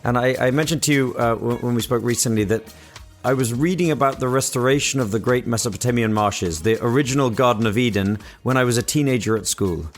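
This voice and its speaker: A man's voice: medium pitch, fast talker, soft background music. A British man hosting a podcast interview in a polite, conversational way with hesitant phrasing. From Britain.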